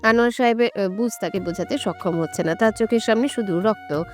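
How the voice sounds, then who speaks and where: A woman's voice: mid-range voice, fast, a little mellow. Female narrator for scripted romantic fiction, average register, light music bed underneath. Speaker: storyteller.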